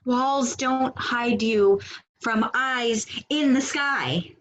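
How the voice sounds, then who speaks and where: Woman acting a high, exasperated, organized activist leader. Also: very slow, a bit bright, slight background noise. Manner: pointed, sarcastic, emphatic. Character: organized, exasperated, bossy female protest organizer. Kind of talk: comedic stage acting over video call. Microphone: video call microphone.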